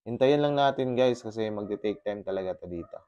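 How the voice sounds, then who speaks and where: A man's voice: on the low side, fairly quick, lo-fi sound. A Filipino man showing step by step how to pair his gear, mixing Tagalog and English with many fillers, speaking into a helmet intercom mic on his motorcycle. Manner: mixes Tagalog and English, steady explaining with fillers. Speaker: motovlogger. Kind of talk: casual tutorial vlog. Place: on a motorcycle, outdoors in a subdivision. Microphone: intercom headset inside a helmet.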